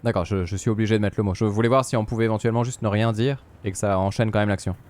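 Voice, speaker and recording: A man's voice: lowish voice, fairly even intonation, racing, a little mellow, slight background noise. A man, a native French speaker, giving casual live gameplay commentary as a streamer. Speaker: gaming streamer.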